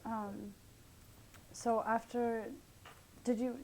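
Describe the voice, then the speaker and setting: A young woman leads an oral history interview in a mellow voice, asking her questions tentatively with plenty of fillers. A faint low rumble runs beneath the recording. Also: level tone, extremely slow, rough recording.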